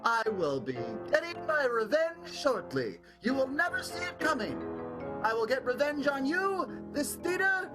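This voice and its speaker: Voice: high-pitched, sing-song, unhurried, over music. An actor playing a flaky, self-deprecating oddball schemer in an improvised comedic radio play, rambling with melodramatic earnestness. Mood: vengeful.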